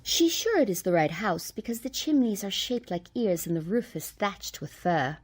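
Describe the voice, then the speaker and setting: A woman's voice: mid-range voice, animated, normal speed, slightly rough. A woman reading scripted storybook narration for a radio play.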